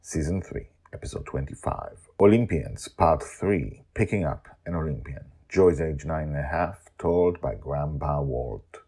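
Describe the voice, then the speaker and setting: Very deep, husky male storyteller. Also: dark tone. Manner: theatrical, dramatic pauses and swoops. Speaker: host and storyteller of a daily fiction podcast. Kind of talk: scripted narration, dramatic storytelling.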